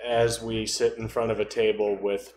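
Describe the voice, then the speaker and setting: A man in casual podcast conversation, speaking in a halting way as he thinks aloud. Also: on the low side, little pitch movement, darkish tone. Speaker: podcast co-host. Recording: roomy echo, faint background noise.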